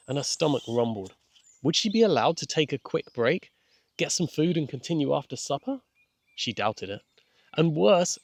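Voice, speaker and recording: A man reading a scripted children's audiobook as narrator, with measured, gentle storytelling. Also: mid-range voice, no room sound. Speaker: author and narrator.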